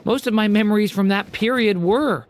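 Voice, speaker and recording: A woman voicing a gentle, curious young dragon boy in a video game, soft and earnest. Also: medium pitch, normal speed, background noise, natural voice. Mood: thoughtful. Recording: game audio with soft music.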